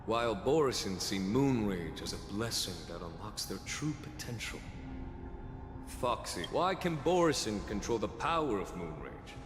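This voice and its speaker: An actor playing a calm, courteous but unyielding scholar-official in a video game, speaking formally and persuasively. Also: male voice, on the low side, normal speed. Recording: echoey long reverb, music behind.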